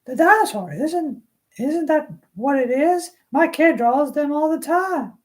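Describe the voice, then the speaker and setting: A woman's voice: high voice, lively intonation, measured pace, a little mellow, voice pushed up. A woman voicing a talkative middle-aged female lab technician and mother of two, breezy and dismissive. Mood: puzzled.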